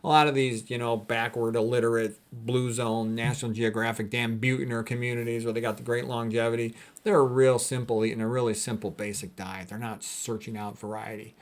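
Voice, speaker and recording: A man's voice: mid-range voice, flat delivery, brisk, few pauses. A man speaking as a doctor giving an informal health lecture, blunt and opinionated, in a colloquial way with conversational asides. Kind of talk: informal explanatory lecture with commentary.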